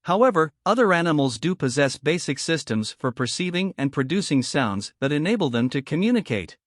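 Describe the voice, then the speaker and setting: Male reader for exam practice texts, slightly higher-pitched, measured and formal, very dry recording. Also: sing-song, no room sound. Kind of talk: scripted read-aloud of an exam practice text.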